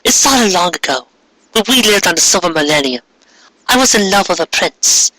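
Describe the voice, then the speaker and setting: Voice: mid-range voice, expressive, normal speed, bright, forceful tone, no room sound, low-quality recording. A performer voicing an earnest, remorseful teenage girl magical heroine in a dramatic fan fiction reading.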